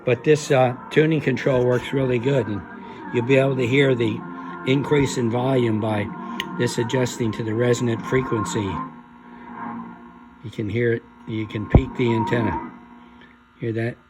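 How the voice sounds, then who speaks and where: A man giving an informal, unscripted demonstration as a hobbyist, explaining haltingly and hesitantly with trailing phrases. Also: medium pitch, steady tone, music in the background, poor audio. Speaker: radio restoration hobbyist demonstrating a restored set.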